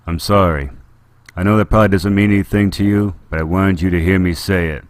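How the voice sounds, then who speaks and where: A man voicing a weary, remorseful male city administrator who speaks quietly and earnestly. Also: low-pitched, fairly even intonation, brisk, no echo, lightly acted.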